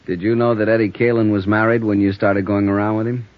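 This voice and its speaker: A calm, probing police sergeant who questions a suspect with dry patience, as a man plays him. His voice is low. The sound is muffled, like an old radio broadcast. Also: quick pace, lightly acted. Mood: steady. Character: steady middle-aged male police sergeant interrogator. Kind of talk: 1950s radio drama acting.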